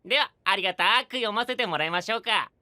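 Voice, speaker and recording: An actor playing a courteous but sly and teasing young knight with mock-sweet melodrama, in an anime audio drama. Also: male voice, high voice, fast, bright, forceful tone, no room sound, faint music behind.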